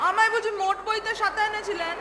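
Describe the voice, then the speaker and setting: A woman's voice: very high voice, fairly even intonation, fast, background noise. A woman voicing a cheeky young village boy who teases and exclaims in a cartoon story. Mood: teasing. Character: cheeky, lazy young village boy, fond of his monkey. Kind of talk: Bengali cartoon story acting. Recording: echoey, music behind.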